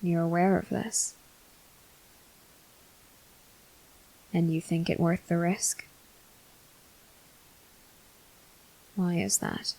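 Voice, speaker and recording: A woman plays a tormented vampire soldier in an intimate ASMR roleplay. The voice is low and husky, often sinking into whispers, with a gravelly creak. Every phrase comes out grave and halting, stern and weary. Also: flat delivery, dark tone, poor audio. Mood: grave, hesitant. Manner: slow, halting, grave delivery. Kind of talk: ASMR roleplay, slow dramatic monologue.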